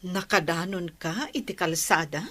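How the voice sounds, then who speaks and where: Woman acting a bright, take-charge medical woman. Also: fairly low pitch, moderate pace. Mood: probing. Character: caring, take-charge female medical professional. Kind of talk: Ilocano radio-drama acting.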